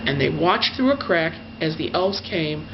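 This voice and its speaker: A woman's voice: deep voice, animated. A woman storyteller telling a fairy tale in her own words, gushing and stretching out the descriptive words. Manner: gushing emphasis, drawn-out adjectives. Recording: dull lo-fi sound with faint noise.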